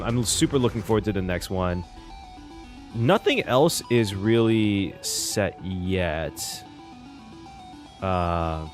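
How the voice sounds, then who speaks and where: Excitable male game reactor, animated pitch, faint music behind. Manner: excitable, chatty, swears. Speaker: reaction streamer. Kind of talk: casual game reaction commentary.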